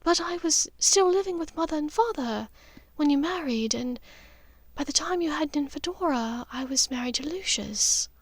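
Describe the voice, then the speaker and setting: A woman's voice: high-pitched, expressive, normal speed, no room sound, pitched above the performer's own voice. A woman voicing a refined, wistful middle-aged witch in an audiobook, speaking precisely and expressively. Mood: regretful, tearful. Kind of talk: audiobook character dialogue.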